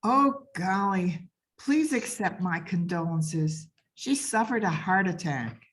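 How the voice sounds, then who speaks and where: A woman's voice: lowish voice, animated, slow. A woman playing a folksy, blithely apologetic servant who breaks bad news with hesitant understatement in old-time radio comedy.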